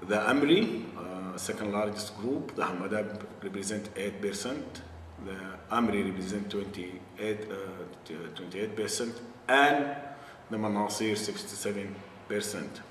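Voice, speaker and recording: Slow, hesitant man from northern Sudan. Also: fairly low pitch, fairly bright tone, roomy sound, big-room sound, far from the mic, slight background noise. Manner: hesitant, many filler pauses and repeated words. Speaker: interviewee, affected community member. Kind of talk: slow deliberate explanation.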